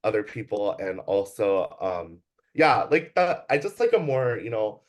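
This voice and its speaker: Young man from California, student interviewee; hesitant, lots of ums and restarts, lowish voice. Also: big pitch swings, unhurried. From Bay Area, California, USA. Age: young adult. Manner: hesitant, many fillers and restarts. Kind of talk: casual interview answers.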